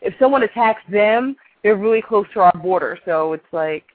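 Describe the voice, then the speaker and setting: A woman's voice: mid-range voice, expressive, normal speed, no echo. Woman co-hosting over a thin phone-like line. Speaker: talk radio co-host. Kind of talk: casual chat and banter. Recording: thin, tinny phone-line radio sound.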